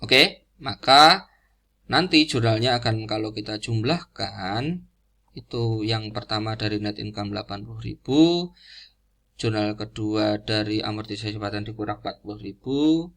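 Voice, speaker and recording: A man giving an informal explanatory lecture in a didactic, halting way, repeating key phrases as he goes. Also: on the low side, vocal fry, sharp tone. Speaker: accounting lecturer.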